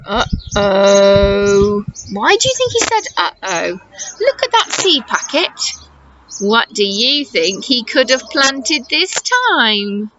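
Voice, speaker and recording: A woman's voice: fairly high pitch, big pitch swings, takes it slowly, a bit bright, dull audio, slight background noise. A woman teacher reading a picture book aloud to young children, expressively, stopping to explain and ask them questions. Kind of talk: animated children's storytime read-aloud with questions to listeners.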